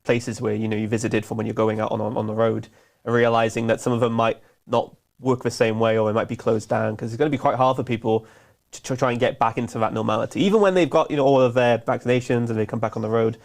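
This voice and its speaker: A man co-hosts a casual business talk, chatty and easygoing. He talks quickly, one thought running into the next, at a middling pitch. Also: fairly even intonation, creaky. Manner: chatty, quick, laughs easily. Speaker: co-host.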